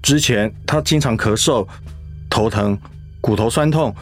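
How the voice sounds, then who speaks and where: A man voicing a dutiful middle-aged son and schoolteacher in a radio drama, speaking in a steady, subdued way. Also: mid-range voice, a little slow, faint music behind, natural voice. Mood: somber.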